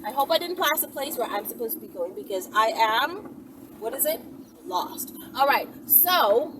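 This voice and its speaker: High, animated woman, noisy vehicle. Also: normal speed. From the Bahamas. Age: 40s. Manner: chatty, playful, rambling with asides. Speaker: vlogger telling stories to viewers. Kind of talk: casual storytelling vlog. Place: inside a moving vehicle. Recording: distant mic, vehicle noise.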